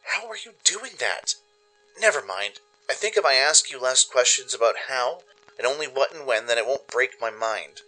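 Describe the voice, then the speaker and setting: A man playing an anxious, tender-hearted young mare pony wandering a wasteland, in an expressive, introspective radio drama style. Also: medium pitch, normal speed, voice pushed up, poor audio. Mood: puzzled. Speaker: narrator and voice performer. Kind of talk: first-person dramatic audio story narration. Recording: music under the voice. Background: music.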